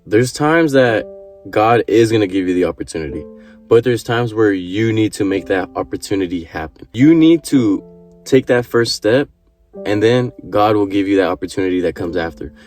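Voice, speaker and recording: A man's voice: fairly low pitch, animated, moderate pace, a little mellow, faint music behind. A man in his early twenties giving an earnest motivational talk to camera, repeating his points and swearing casually. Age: 20s. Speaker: vlogger.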